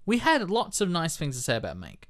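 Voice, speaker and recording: A man's voice: a little high, huge pitch swings, brisk, fairly bright tone. A young man co-hosting a film podcast who talks in a chatty, rambling way and often restarts his sentences. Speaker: podcast co-host. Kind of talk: casual film podcast chat.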